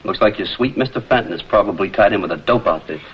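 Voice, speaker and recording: A man's voice: on the low side, fast talker, breathy edge. A man playing a cool, wry private investigator in a vintage TV crime drama, speaking in clipped, laconic lines. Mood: guarded, skeptical. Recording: dull vintage TV soundtrack, loud music behind.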